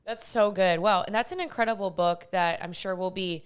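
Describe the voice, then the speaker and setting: Podcast host: young woman in her twenties, smooth clear voice, bubbly and chatty. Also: medium pitch, moderate pace. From United States. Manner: bubbly, chatty, uses lots of "like". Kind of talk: casual podcast chat and intro.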